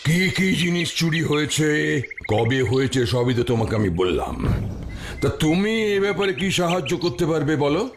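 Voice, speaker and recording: A man voicing a gravelly village landlord. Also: on the high side, very animated, moderate pace. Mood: questioning. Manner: bossy, then frantic with worry. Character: rich village landlord, proud and quick to judge. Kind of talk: cartoon voice acting. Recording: music playing behind.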